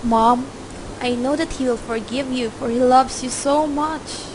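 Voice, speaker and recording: An actress playing a grieving young daughter who talks in an earnest, tearful way in a student radio drama. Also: female voice, high voice, fairly even intonation, a little slow, noisy background. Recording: loud background music.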